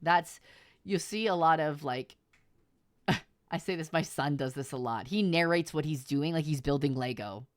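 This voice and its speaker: Low voiced lady streamer just chatting, informal, repeats herself to make the point, close dry mic. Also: moderate pace, no echo. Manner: informal, repeats points for emphasis, occasional swearing. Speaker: VTuber streamer giving advice to viewers. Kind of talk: casual livestream chat.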